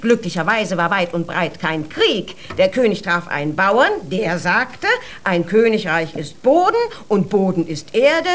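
A woman playing a doting but easily indignant mother, expressive and gently scolding, in a children's radio play. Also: a little high. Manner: expressive, fairy-tale storytelling, gently scolding. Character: doting, indignant new mother and poor would-be queen.

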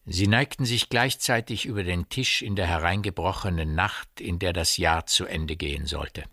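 A man's voice: deep voice, moderate pace, sharp tone, no room sound, studio quality, exaggerated voice. A man narrating a literary radio play in a precise, formal way. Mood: grave.

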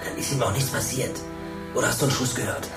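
A man playing a cocky, laconic teenage delinquent in a radio drama, casually deadpan. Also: medium pitch, fast, gravelly, over loud music, close to an everyday voice. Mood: callous. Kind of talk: naturalistic radio drama acting.

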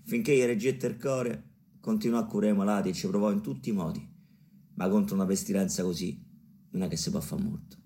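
An actor playing a wry, earthy folk storyteller with a Roman accent, narrating a radio drama in measured colloquial speech. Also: male voice, fairly low pitch, steady tone, creaky, mellow. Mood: wry, mournful.

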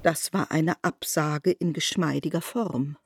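A woman's voice: low voice, steady tone, unhurried, breathy edge. A woman narrating a literary radio drama as a scripted storyteller.

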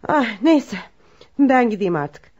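A woman's voice: a little high, sing-song, takes it slowly, a little mellow, heavily acted. A woman playing a disapproving, moralizing mother in a radio drama. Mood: skeptical, resigned. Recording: dull lo-fi radio recording.

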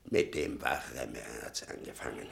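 An actor playing a bitter, doting old father in a 1960 German radio play, halting and brooding, muttering with sudden emphasis. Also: extremely low pitch, vocal fry, exaggerated voice. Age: older.

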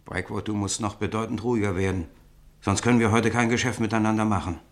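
Ein Schauspieler spielt in einem deutschen Hörspiel einen misstrauischen Mann, der widerwillig eine Waffe verkauft und bedächtig nachbohrt. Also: male voice, on the low side, steady tone, natural voice. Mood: probing, needling. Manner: probing, deliberate, questioning. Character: wary man reluctantly selling a revolver, probing and needling. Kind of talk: German radio play acting.

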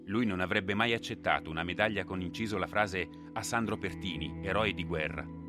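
An actor playing a grave narrator in a war drama, telling the story in an emphatic, measured way. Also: male voice, low voice, lightly acted. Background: music.

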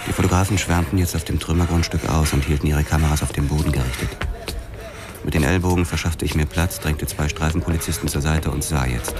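An actor playing a brooding, terse young police detective who narrates a noir crime drama in a measured, sombre way. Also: male voice, rumbling low, steady tone, music in the background. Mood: tense. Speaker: first-person narrator.

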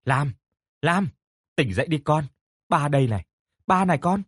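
Man acting a hoarse, worried older father. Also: fairly high pitch, expressive, moderate pace, no echo, studio quality, pitched above the performer's own voice. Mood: urgent, worried. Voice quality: hoarse, warm. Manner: gentle, concerned. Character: warm, worried older father comforting his grown daughter.

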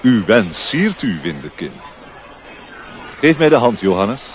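A man's voice: on the low side, big pitch swings, takes it slowly, soft background music. Stately elf king, sweeping intonation. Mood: regal warmth. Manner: solemn, ceremonious, grand. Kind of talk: Dutch radio play acting. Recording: noisy old radio play recording.

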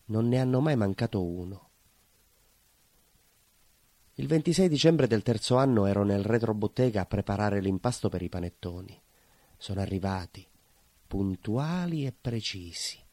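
A man's voice: on the low side, darkish tone, no room sound. A man playing an imprisoned baker, bitter, lovelorn and simmering with rage, in a theatrical monologue with a Calabrian southern Italian accent and short, repeated phrases. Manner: short broken phrases, insistent repetition. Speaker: stage actor in a monologue.

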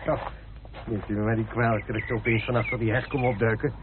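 A man's voice: fairly low pitch, level tone, fast talker, natural voice. An actor playing an eccentric, hospitable male house owner in a radio play, chatty, courteous and effusive. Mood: eager, hospitable. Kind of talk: Dutch radio drama acting. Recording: tinny, noisy old radio recording.

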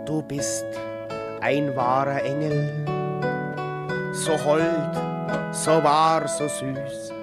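A woman's voice: deep voice, steady tone, drawn-out words, long pauses. An actress playing an adoring, sentimental woman, performing lyrically in a radio drama. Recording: loud plucked guitar behind the voice.

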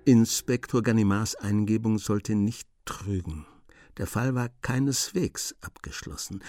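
A man narrating a scripted radio play in a measured storytelling manner. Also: fairly low pitch, darkish tone, dry recording.

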